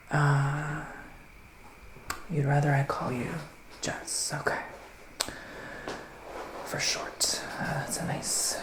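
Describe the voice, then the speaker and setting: A man playing a gentle, theatrical male witch psychic in an ASMR roleplay, speaking in a breathy, sighing, partly whispered hush. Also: fairly high pitch, roomy sound, a little hiss or hum, low-quality recording. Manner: breathy, sighing, hushed, dramatic. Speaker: ASMR performer.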